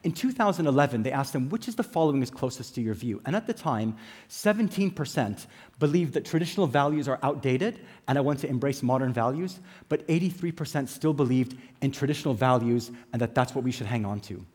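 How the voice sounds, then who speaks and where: A middle-aged man with an American accent giving a prepared conference talk, measured and emphatic, building points through lists. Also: medium pitch, expressive, a little mellow, husky. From England. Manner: measured, emphatic delivery with dramatic pauses and lists. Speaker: conference speaker.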